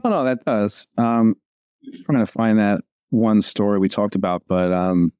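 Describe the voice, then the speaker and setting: Cartoonist guy rambling about his strips, lots of ums, mellow voice, dry sound. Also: on the low side, steady tone, normal speed, clear, resonant tone, no echo, highs cut off. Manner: rambling, many fillers and restarts. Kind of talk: casual chat over a video call.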